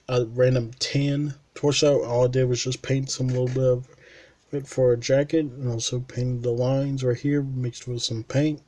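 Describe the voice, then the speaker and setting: A man casually showing his custom toy figures, halting with fillers and restarts, sniffling with a dry mouth, recorded on a camera mic at his desk. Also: medium pitch, a little mellow. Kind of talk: casual showcase narration.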